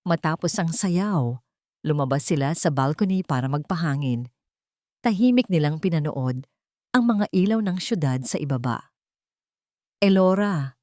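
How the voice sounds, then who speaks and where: A woman with a Filipino accent narrates a scripted dramatic love story in a measured, expressive way. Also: sits low, dry recording, clean studio sound. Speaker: narrator of romance stories.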